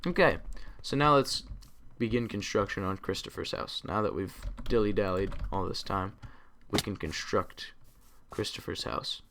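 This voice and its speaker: A young man hosting a gaming channel, tired and a bit raspy, rambling casually to himself as he plays. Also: fairly low pitch, normal speed, few pauses. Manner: rambling, talks to himself while playing. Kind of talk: casual gameplay commentary. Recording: clear typing noise behind. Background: keyboard typing and clicks.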